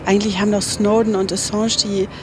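An actress playing a self-doubting radio journalist, talking to herself in a naturalistic, tentative way. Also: female voice, medium pitch, level tone, a little fast, noisy background, close to an everyday voice. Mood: doubtful, musing. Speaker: radio play protagonist, reporter. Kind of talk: naturalistic radio drama monologue.

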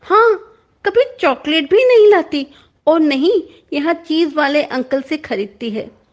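A woman's voice: high-pitched, expressive, unhurried, pitched above the performer's own voice. A woman voicing a scornful, tactless fifth-grade schoolchild in a whiny childlike manner for a storybook reading. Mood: scornful, tattling. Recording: dull lo-fi recording.

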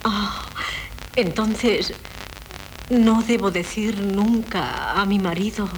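An actress playing a coy young wife who feigns madness in a 1970s radio play, with hesitant, plaintive theatrical delivery. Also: female voice, medium pitch, slow pace, lightly acted. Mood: coy, confiding. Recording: noisy 1970s radio recording.

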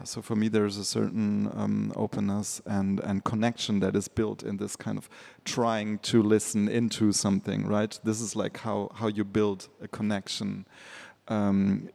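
A man's voice: fairly low pitch, completely flat delivery, measured pace, creaky, darkish tone. A man, an artist, talking reflectively on a panel, hesitant and full of fillers. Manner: thoughtful, hesitant, many fillers.

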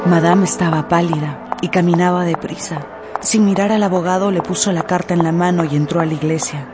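A narrator telling a radio drama story in an expressive, theatrical way with drawn-out emphasis. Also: mid-range voice.

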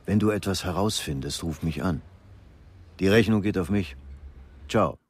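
An actor playing a gruff, businesslike police inspector in a crime radio drama, speaking tersely and with authority. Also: male voice, low-pitched, normal speed. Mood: businesslike, firm. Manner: terse, matter-of-fact, commanding.

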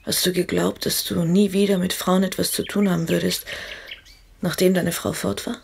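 A woman playing a young lover in an intimate radio play, soft, questioning and yearning. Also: on the low side, little pitch movement, normal speed, creaky, husky, full character voice. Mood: curious, tender.

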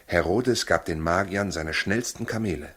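A protective, determined husband in a 1960s radio play. His voice is deep and rough-grained. He speaks gravely, in a measured, urging way. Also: a bit bright. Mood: earnest, persuading. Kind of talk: 1960s German radio drama acting.

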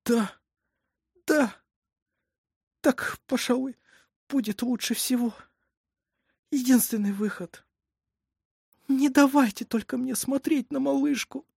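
A woman voicing a frightened, exhausted peasant mother in an audiobook, pleading tearfully and slowly. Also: high-pitched, warm tone, no room sound, studio quality, voice pushed up. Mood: weary resignation. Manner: tearful, slow, drawn-out pleading.

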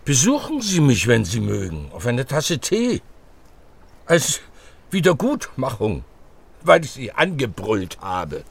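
An actor playing a prickly, pedantic older scientist in a German radio drama, speaking in a precise, abrupt, formal way. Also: male voice, medium pitch, huge pitch swings, slow pace, vocal fry, breathy edge, full character voice. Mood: conciliatory. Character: old scientist, curt, prickly, pedantic, lonely man.

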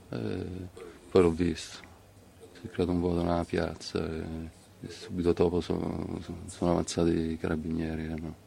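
Male eyewitness, low and gravelly, flat delivery, halting testimony over faint noise. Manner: halting, with pauses and repeats. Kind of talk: spoken testimony.

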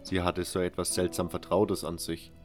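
Fantasy audio drama lead: man playing wary nameless convict narrator, deep register, brooding and measured. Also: level tone, soft background music, close to an everyday voice. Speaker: first-person narrator.

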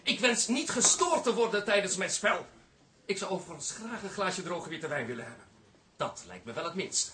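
A man's voice: high voice, lively intonation, moderate pace, pushed and bright. An actor playing a pompous, easily irritated male pianist in a radio comedy, speaking formally and indignantly. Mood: snooty, annoyed. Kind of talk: radio comedy drama acting.

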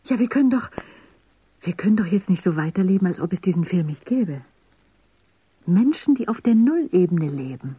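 A woman of a dystopian underground world, full of urgent questions. Her voice is very breathy and dark, dry and close, with lively swings. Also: fairly low pitch, moderate pace, pauses often, no room sound, muffled recording, full character voice. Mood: urgent questioning. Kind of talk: German radio drama acting.

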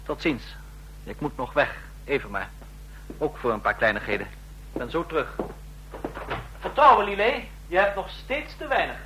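A man's voice: a little high, expressive, moderate pace, long pauses, fairly bright tone, a little hiss or hum, rough recording. A man playing an ambitious, overconfident, scheming subordinate in a radio drama, eager and insistent. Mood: cocky. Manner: eager, insistent, self-assured. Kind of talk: Dutch radio play acting.

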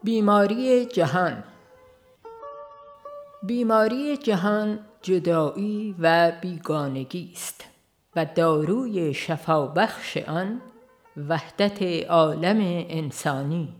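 A woman reads a religious book as a formal audiobook narrator, in a solemn, measured way. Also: lowish voice, faint music behind. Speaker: audiobook reader.

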